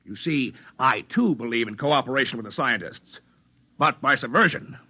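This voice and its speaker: An actor in a 1950s radio drama playing a fat old cynical boss, sardonic, commanding and smugly scheming in a theatrical, husky voice. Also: male voice, mid-range voice, animated, moderate pace, bright, forceful tone, heavily acted. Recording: 1950s radio broadcast recording.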